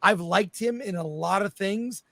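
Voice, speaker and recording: A man with a high voice talks casually as a talk show panelist. The sound is very dry, with hardly any room to it. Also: unhurried, vocal fry, bright, forceful tone, no echo. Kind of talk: casual film discussion.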